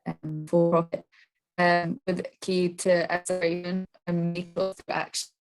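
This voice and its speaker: A woman presenting a webinar over a bad connection, her speech halting and choppy. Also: fairly low pitch, little pitch movement, creaky, low-quality recording. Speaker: sustainability centre lead. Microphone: video call. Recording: robotic bad connection with music.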